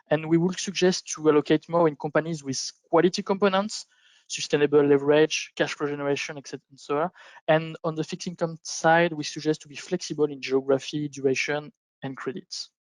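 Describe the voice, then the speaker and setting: Higher-pitched man giving formal commentary. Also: steady tone, brisk, no echo, rough recording. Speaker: investment professional, panelist. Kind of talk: prepared roundtable commentary on markets. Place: remote conference call.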